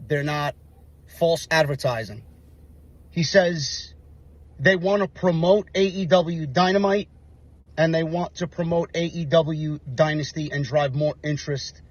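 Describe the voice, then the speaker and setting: Sore-voiced man ranting with indignation. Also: on the high side. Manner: indignant, lecturing, rhetorical questions. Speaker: online commentator. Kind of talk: ranting live stream.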